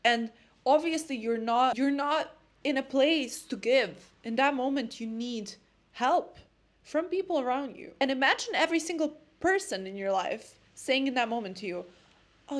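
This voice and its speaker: A young woman with a Serbian accent, vlogging to camera in a blunt, impassioned monologue about friendship. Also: on the high side. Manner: impassioned, blunt, repeats words when emphatic. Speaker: lifestyle vlogger.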